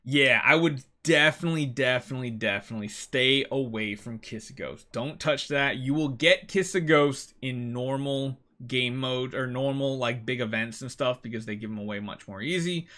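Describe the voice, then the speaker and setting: Bright-voiced man giving gaming advice. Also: mid-range voice, normal speed, few pauses. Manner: emphatic, repeats key words. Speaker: gaming video host. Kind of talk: casual gameplay advice commentary.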